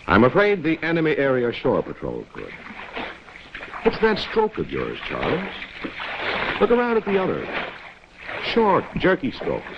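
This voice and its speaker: A man's voice: mid-range voice, noisy background. An American man narrating a 1940s instructional film, chiding its characters directly in a wry, rhetorical way. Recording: dull 1940s film soundtrack, music.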